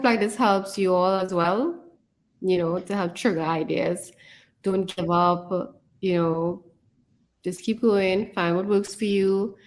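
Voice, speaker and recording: A woman author chatting casually about writing in an encouraging way, often repeating phrases for emphasis. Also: mid-range voice. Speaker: author, co-presenter.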